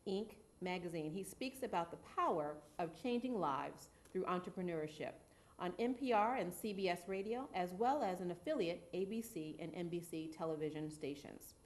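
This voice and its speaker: A woman's voice: on the low side, animated, normal speed. A woman hosting a talk show from a TV studio, reading formal guest introductions and asking questions with encouraging murmurs.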